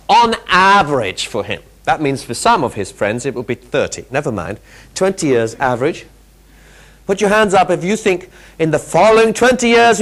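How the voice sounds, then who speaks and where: A middle-aged British man giving a lively interactive keynote talk, quizzing the audience with quick questions, on a lapel mic in a large hotel conference room. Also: on the high side. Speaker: keynote speaker. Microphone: clip-on lapel mic.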